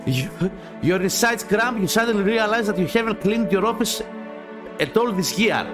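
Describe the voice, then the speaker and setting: A man in his thirties with a Greek accent, a native Greek speaker, hosting a casual let's play and reading game text aloud haltingly with asides. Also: high-pitched, animated. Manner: halting reading, often repeating phrases, swearing in asides. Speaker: gaming channel host. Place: small dim home gaming room. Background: game music.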